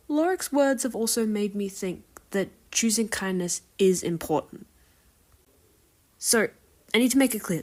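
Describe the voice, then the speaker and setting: A woman's voice: lowish voice, a little mellow. A woman recording a listener submission, giving prepared commentary for a podcast.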